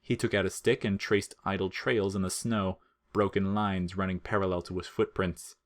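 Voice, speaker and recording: A man's voice: low-pitched, level tone, moderate pace. A man reading scripted fiction aloud as a narrator.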